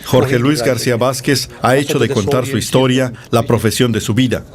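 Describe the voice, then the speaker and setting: A man gives a measured, scripted news narration. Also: medium pitch, fairly even intonation, a loud crowd. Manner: measured, formal delivery. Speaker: news reporter.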